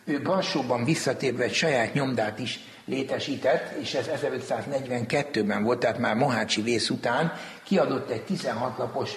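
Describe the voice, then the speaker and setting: A man being interviewed as a museum director, explaining history in formal, deliberate, scholarly phrasing. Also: medium pitch. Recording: echoey, reverberant hall.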